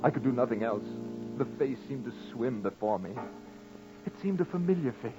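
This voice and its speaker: An actor playing a panicked, guilt-ridden murderer, narrating a horror radio drama in a melodramatic way with dramatic pauses. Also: male voice, mid-range voice, lively intonation, close to an everyday voice. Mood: terrified. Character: guilt-ridden, panicked male murderer confessing his crime. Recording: muffled vintage radio recording with music.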